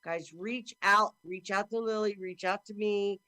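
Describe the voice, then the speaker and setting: A middle-aged woman hosting a talk show over a video call, with a deep, carrying voice, effusive and chatty. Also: steady tone, unhurried, no room sound. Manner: effusive and warm, talks a lot. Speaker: host. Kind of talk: casual chat. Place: indoor room at home.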